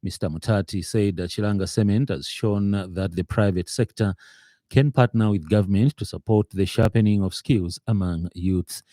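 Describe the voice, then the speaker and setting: A man with a Zambian accent reading a scripted radio news bulletin in a formal, somewhat halting manner. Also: fairly low pitch, fairly even intonation, dark tone, dry recording, studio quality. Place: radio studio.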